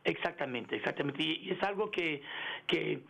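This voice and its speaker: A middle-aged man in a radio interview, speaking haltingly with many fillers and restarts and slipping into English words. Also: fairly high pitch, animated, vocal fry, bright, forceful tone, poor audio. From United States. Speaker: interview guest, engineering executive. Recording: radio broadcast.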